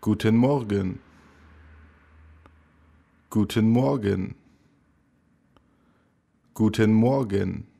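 Male lesson reader: very slow, dark, gravelly, careful drill delivery. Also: fairly low pitch. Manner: slow, careful, each phrase said three times. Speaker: language teacher. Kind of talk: slow repeated phrase drill.

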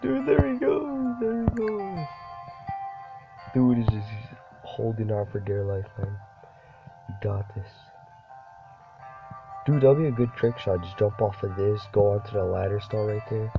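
Young man, sick, deep low voice, slangy rambling, dull lo-fi sound. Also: animated, a little slow, gravelly, pauses often. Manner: rambling, slangy, says dude a lot. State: sick, voice deeper than usual. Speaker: gaming YouTuber. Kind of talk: casual gameplay commentary. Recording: dull lo-fi recording with game music behind. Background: game music.

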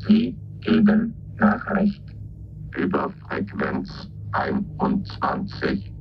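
An actor playing a formal military negotiation robot in a radio drama, with stiff, clipped, formulaic delivery. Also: male voice, very deep voice, wildly swooping pitch, drawn-out words, heavily acted. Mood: mechanical, formal. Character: two military negotiation robots exchanging coded messages, formal, mechanical. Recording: dull, noisy recording.

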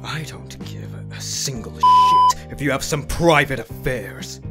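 A man's voice: on the high side, huge pitch swings, measured pace, loud music behind the voice. A man playing an angry, bullying demon boss in a cartoon dub, aggressive and threatening. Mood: furious. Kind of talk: cartoon comic dub acting.

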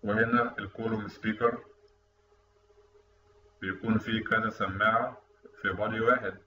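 A man with an Egyptian Arabic accent giving a technical lecture over a screen recording, explaining in a quick, conversational way. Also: lowish voice, fairly even intonation, bright, forceful tone. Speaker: instructor. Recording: distant, dull, echoey room mic with faint noise.

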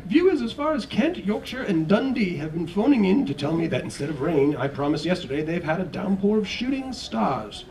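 A man's voice: high-pitched, sing-song, a little fast, hardly any pauses, a little mellow, recorded from a distance, pitched above the performer's own voice, poor audio. A man voicing a chatty, jokey TV weatherman in a read-aloud storybook. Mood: jovial. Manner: breezy, folksy broadcast banter.

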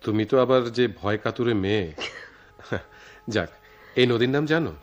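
An actor playing an idealistic young writer in love in a radio drama, poetic and earnest, with a Bangladeshi accent. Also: male voice, medium pitch, normal speed, muffled recording, lightly acted. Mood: teasing, tender. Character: romantic, idealistic young male writer, devoted eloping lover. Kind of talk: theatrical radio drama acting.

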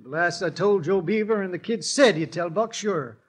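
A brisk express agent. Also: male voice, high-pitched, breathy edge. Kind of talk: 1950s radio drama acting.

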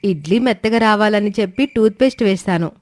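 A woman's voice: mid-range voice, fairly quick, darkish tone, a little hiss or hum, lightly acted. A woman voicing an eager, boastful, competitive young daughter-in-law in a family comedy story. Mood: proud.

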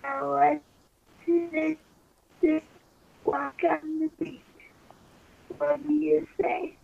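Very high, slow, creaky girlfriend played by a woman. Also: flat delivery, heavily acted. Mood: loving. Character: affectionate young girlfriend in a romance. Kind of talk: amateur ASMR couple roleplay. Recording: muffled lo-fi playback.

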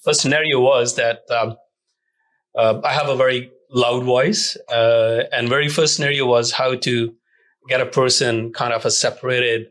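A man, a police constable, telling stories in a studio interview with lively laughs and frequent fillers. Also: mid-range voice, normal speed, poor audio. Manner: animated storytelling with laughs and hesitant fillers. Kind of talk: interview answers.

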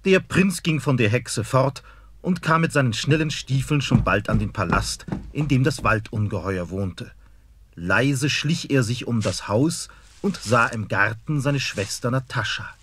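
A man narrating a fairy tale in an expressive storytelling manner. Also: mid-range voice, a little slow, noisy background.

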